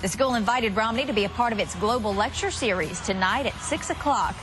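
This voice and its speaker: A woman's voice: a little high, brisk, music in the background. A woman anchoring a morning newscast, reading scripted news.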